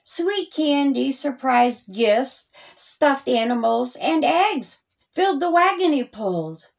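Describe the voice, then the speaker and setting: High-voiced, slow-paced woman storyteller. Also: lively intonation, creaky. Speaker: children's story time host and author. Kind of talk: children's storybook reading.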